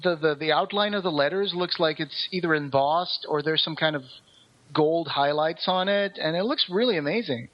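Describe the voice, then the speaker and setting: A man's voice: on the high side, normal speed, lo-fi sound. A man co-hosting a podcast in casual chat, talking with enthusiasm and firm opinions. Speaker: podcast co-host.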